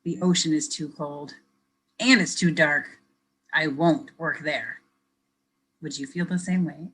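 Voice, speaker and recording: A woman reads a children's picture book aloud in a low, slightly husky voice with a bright edge. She reads expressively and gently, stopping to ask young listeners questions. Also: unhurried. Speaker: educator reading aloud to children. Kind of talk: children's read-aloud with teacher commentary.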